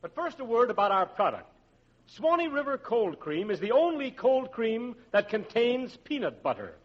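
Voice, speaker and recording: A man's voice: very high voice, big pitch swings, normal speed, slight background noise. A man playing a mock-serious radio announcer, delivering spoof 1940s commercial patter with sincere salesmanship. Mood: mock-earnest. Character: mock-serious radio announcer pitching absurd cold cream. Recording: muffled vintage broadcast, loud studio audience.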